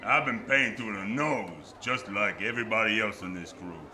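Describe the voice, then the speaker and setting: Male actor as tough carnival barker, irritable, gruff slang, old-time radio drama, clear background noise. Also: on the low side, moderate pace, close to an everyday voice. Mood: irritable, bitter. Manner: gruff, slangy 1940s hardboiled talk. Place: live theatre stage before an audience. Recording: live stage recording with clear noise.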